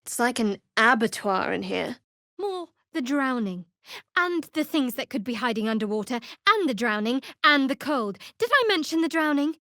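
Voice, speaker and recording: An actress voicing a guarded, devout dark cleric woman in a video game, with a dry, sardonic, measured delivery. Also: a little high, very animated, no echo, full character voice. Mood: dry, wry. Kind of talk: video game character voice acting.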